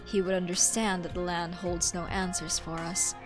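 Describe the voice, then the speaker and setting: A woman voicing a solemn, stoic female sea warrior in game dialogue, in measured formal speech. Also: fairly low pitch, level tone, over music, lightly acted. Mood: solemn, resolute. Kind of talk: game dialogue read aloud.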